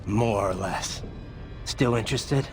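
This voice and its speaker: An actor playing a grim, sardonic, battle-haunted cyborg soldier in a video game, terse and gravelly. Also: male voice, fairly low pitch, slow pace, soft background music. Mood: wry, resigned. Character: brooding male cyborg soldier, tough, sardonic, haunted by violent past. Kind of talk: video game cutscene acting.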